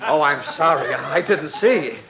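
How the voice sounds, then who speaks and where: A man playing a fast-talking car salesman in a 1940s-style radio comedy sketch. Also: a little high, animated. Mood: eager, obliging. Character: fast-talking car salesman, first fawning, later bossy and rude. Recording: noisy, dull old radio recording with clicks.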